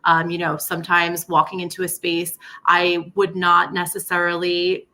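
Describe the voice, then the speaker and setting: A woman's voice: fairly low pitch, completely flat delivery, normal speed, bright, forceful tone. A woman from Staten Island, a nonprofit educator, telling her story earnestly on a panel. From Staten Island, New York. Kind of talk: casual panel talk.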